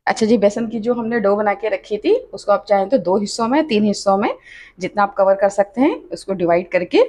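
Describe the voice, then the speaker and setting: A woman's voice: medium pitch, brisk, a little mellow. A woman narrating a home cooking tutorial, chatty and instructive, often saying "achha" and "dekhiye". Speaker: cooking channel host. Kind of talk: step-by-step cooking tutorial voice-over. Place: home kitchen.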